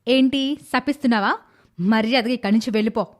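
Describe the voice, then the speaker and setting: A woman's voice: a little high, brisk, close to an everyday voice. A woman voicing an arrogant, spoiled, short-tempered young daughter-in-law in a dramatised moral story, with a scolding, haughty manner. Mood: angry, scornful.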